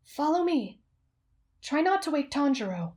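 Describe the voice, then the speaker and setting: Girl playing a patient, polite anime sidekick, high voice, reasons things out gently. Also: very animated, measured pace. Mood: coaxing. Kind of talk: anime fan roleplay audio.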